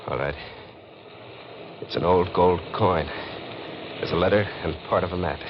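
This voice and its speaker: An actor playing a wary young fortune hunter, acting and narrating in 1940s radio mystery style. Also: male voice, sits low, a little slow. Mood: nervous, defiant. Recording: dull, noisy 1940s radio recording.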